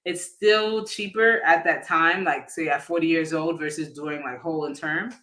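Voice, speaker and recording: A man working as an insurance agent, explaining policies casually on a livestream, often pausing with fillers and restarting his sentences. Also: on the high side, sharp tone. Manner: hesitant, many fillers and restarts; addresses viewers informally.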